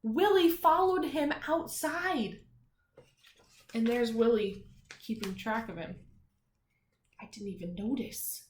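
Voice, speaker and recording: A woman teacher reading a picture book to young children, expressive and emphatic on the text, with softer, encouraging conversational asides and questions. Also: fairly high pitch, takes it slowly, long pauses, slight background noise. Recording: echoey room sound.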